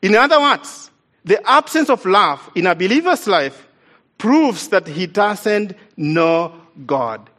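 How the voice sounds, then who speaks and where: A man's voice: high-pitched, animated, slow, sharp tone. A man from East Africa, whose first language is not English, preaching a sermon in an earnest, emphatic way and repeating key lines for stress.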